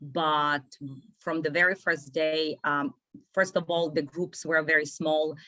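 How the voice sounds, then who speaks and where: A woman's voice: deep voice, little pitch movement, unhurried, sharp tone, no echo, poor audio. A woman, an immigration consultant talking casually as a guest and repeating phrases for emphasis. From Ukraine. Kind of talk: casual interview talk.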